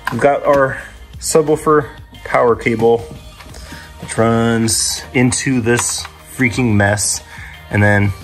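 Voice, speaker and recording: A man's voice: mid-range voice, a bit bright. A young man talks through a do-it-yourself job in a casual vlog style, with a mid-range voice. He thinks aloud informally and sounds mildly exasperated, with some music in the recording. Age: young adult. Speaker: car DIY vlogger.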